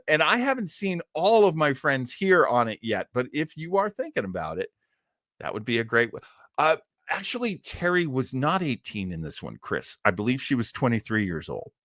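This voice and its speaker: A man's voice: on the high side, a bit bright, no echo, clean studio sound. A man with an American accent hosting a casual livestream, chatting to viewers in a rambling, effusive way. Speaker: livestream host.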